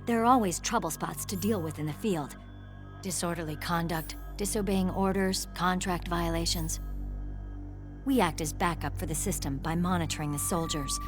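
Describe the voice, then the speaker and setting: Woman voicing young female soldier, American, lowish voice, brusque, hot-tempered, game drama, music behind. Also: natural voice. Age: young adult. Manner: blunt, brusque, military. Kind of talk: dramatic video game cutscene acting.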